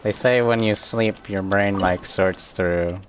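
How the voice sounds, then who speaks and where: A man streaming a game with casual commentary, halting and searching for words in a self-mocking way. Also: lowish voice, fairly even intonation. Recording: clearly noisy, game sound behind.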